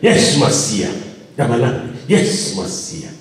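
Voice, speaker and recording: A man's voice: medium pitch, huge pitch swings, takes it slowly. A man preaching a sermon, speaking emphatically and exhorting his congregation directly. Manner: emphatic delivery with repeated exhortations and addresses to the congregation. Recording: echoey room, distant microphone.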